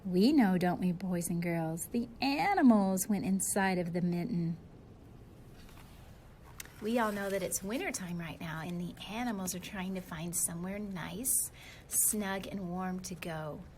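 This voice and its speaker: A woman reads a storybook to young children at a slow, measured pace. Her delivery is expressive and teacherly, speaking directly to her little listeners. There is some light noise behind her voice. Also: fairly low pitch. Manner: expressive, addressing young listeners with simple questions and asides. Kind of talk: children's picture-book read-aloud.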